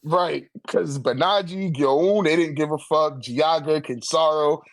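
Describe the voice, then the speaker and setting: A man chatting casually in an animated, slangy manner with heavy swearing, as a guest in a friendly debate. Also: on the high side, a little slow. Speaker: guest debating a manga tier list. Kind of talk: casual chat.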